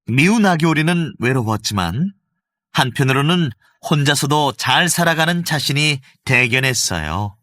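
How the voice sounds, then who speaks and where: Man, children's storybook narrator, bright voice, very lively melody, gentle expressive telling, dry close studio sound. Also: medium pitch, moderate pace, no room sound. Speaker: voice actor narrator.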